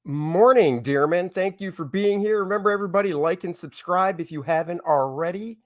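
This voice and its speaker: A man's voice: sits high, normal speed, no echo. Casual male livestream host with a dry sound. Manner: easygoing, rambling, reads and answers chat. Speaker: comic artist hosting a livestream. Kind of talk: casual livestream chat to viewers.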